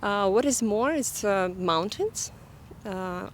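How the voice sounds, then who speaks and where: A woman answering a street interview enthusiastically, pausing with uhs as she searches for words. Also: medium pitch, animated, slight background noise. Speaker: former language student, interviewee. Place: outdoors on a city street.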